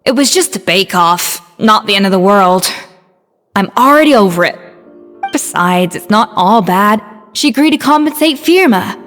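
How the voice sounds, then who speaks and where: An actress voicing a composed adult female head chef in a video game, speaking in a calm, measured and firm way. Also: mid-range voice, big-room sound, soft background music, natural voice. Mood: resigned.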